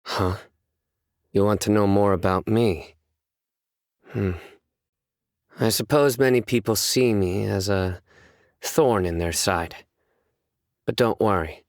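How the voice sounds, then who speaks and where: An actor playing a stern, composed young male judge in a video game, speaking in a measured, serious, deadpan way. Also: lowish voice, no room sound, clean studio sound. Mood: calm, stern. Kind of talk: scripted game character voice lines.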